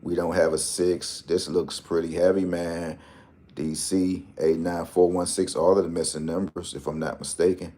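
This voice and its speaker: A man's voice: sits low, flat delivery, moderate pace, warm tone. A man hosting a lottery tips vlog, talking in a casual, joking, rambling way full of slang and asides.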